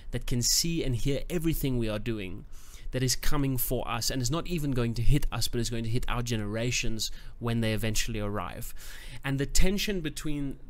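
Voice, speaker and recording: Man, book reviewer, mid-pitched, musing, then eager. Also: fairly bright tone. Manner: thoughtful, searching for words, then enthusiastic. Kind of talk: casual book review to camera.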